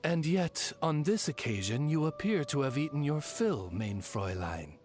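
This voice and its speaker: An actor voicing a dignified raven familiar in an anime game, formal and polite like a dryly deferential butler. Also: male voice, fairly high pitch, animated, normal speed, darkish tone, a little hiss or hum, natural voice. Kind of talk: anime game character voice lines.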